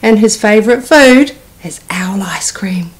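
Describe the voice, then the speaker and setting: A woman's voice: mid-range voice, lively intonation, a little slow, lightly acted. A woman voicing a small, polite but boastful mouse in a children's storybook. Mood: matter-of-fact boasting. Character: small, cheeky, confident brown mouse, polite trickster.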